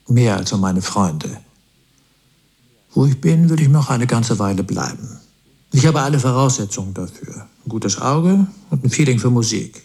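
An actor playing a smug, ruthless rock-business boss in a radio play, boastful, self-assured and cynical. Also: male voice, medium pitch, darkish tone, natural voice. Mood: cynical, menacing.

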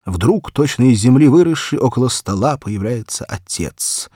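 A man's voice: mid-range voice, animated, normal speed, warm tone, husky, dry recording, clean studio sound. A man reading a children's story aloud as an audiobook narrator, in a dramatic, theatrical storytelling manner.

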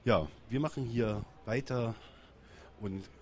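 A man's voice: mid-range voice, takes it slowly, a little hiss or hum. A man from Berlin working as a radio reporter, asking probing questions in a casual street interview outdoors. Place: outdoors on a busy city square.

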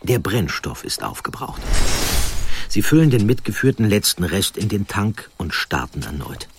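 A man with a husky voice narrating a scripted documentary story in a measured, deliberate way. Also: lowish voice, very animated, slight background noise.

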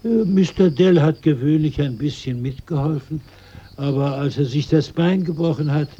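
A man's voice: on the high side, moderate pace, warm tone, husky. An actor playing a mild male household servant in a German radio drama, polite and slightly hesitant. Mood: mild, obliging. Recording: muffled, faintly noisy radio recording.

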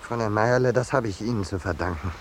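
An actor playing a mild, peace-loving painter in a 1950s radio play, polite, hesitant and dryly ironic. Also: male voice, lowish voice, fast talker, natural voice. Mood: patient, flustered. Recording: noisy old 1950s radio recording.

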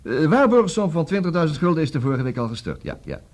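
Busy Dutch lawyer, swooping intonation. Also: male voice, a little high, sing-song, fast talker, darkish tone. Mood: busy, distracted. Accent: Netherlandic Dutch. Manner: hesitant fillers, repeats words, juggles several conversations. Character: busy, brisk, distracted male divorce lawyer. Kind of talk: radio comedy acting.

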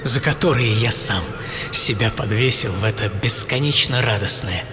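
An actor playing a daring, exhilarated 25-year-old tightrope walker in a theatrical first-person radio monologue, moving between hushed pauses and fervent intensity. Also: male voice, mid-range voice, close to an everyday voice. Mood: wry elation. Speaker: actor and author of the programme. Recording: studio voice under loud music. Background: loud dramatic music.

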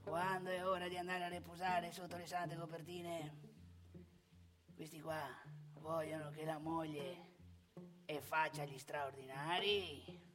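A woman's voice: sits low, flat delivery, measured pace, music in the background. A woman playing a cantankerous, opinionated old married man in a theatrical comic monologue, with a northeastern Italian accent, scolding and haranguing in rhetorical questions. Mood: grumbling, lecturing.

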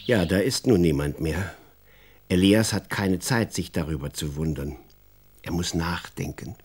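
A man playing an expressive storyteller in a children's radio drama, narrating in a deliberate, dramatic way. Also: low voice, slight background noise. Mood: suspenseful. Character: expressive male storyteller who also talks with the boy.

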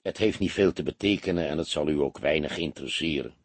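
A man's voice: extremely low pitch, fast talker, no echo, voice pushed down. A man voicing a weary police detective who talks in a deferential, understated and hesitant way, as in an audiobook. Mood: reluctant, downcast. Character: world-weary police detective, feigning meek, sheepish humility. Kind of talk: audiobook character voice.

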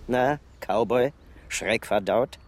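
A man's voice: mid-range voice, slow pace. A man playing a wry, easygoing police officer in a German crime radio drama, speaking in a colloquial, musing way. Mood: wry, reassuring. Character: dry, easygoing male police colleague, wry.

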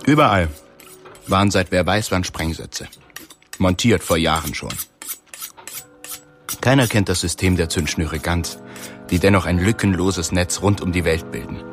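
An actor playing a cook in a windowless basement kitchen, delivering a solemn, brooding radio play monologue about the end of the world. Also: male voice, low-pitched, measured pace, pauses often, faint music behind. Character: male cook in windowless basement kitchen, grim prophet of doom.

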